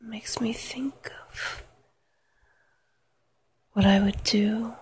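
A woman whispering an intimate, sensual erotic monologue to the listener in a breathy, drawn-out way. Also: fairly high pitch, steady tone, a little hiss or hum. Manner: slow, sensual, drawn-out delivery with soft moans.

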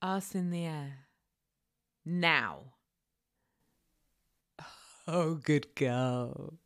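A woman voicing a possessive, sadistic dominant woman in an audio roleplay, whispering breathily with sighs and low laughs. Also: extremely slow, fairly bright tone, heavily acted. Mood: possessive, menacing.

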